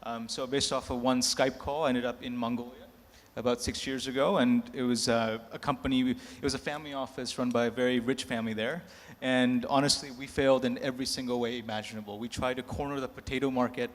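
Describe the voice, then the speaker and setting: Candid self-mocking panelist in his thirties, mid pitch, reverb from a big hall. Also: fairly even intonation, fairly quick. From United States. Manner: candid, self-mocking, laughs between lines. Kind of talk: candid anecdotal storytelling. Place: large conference hall.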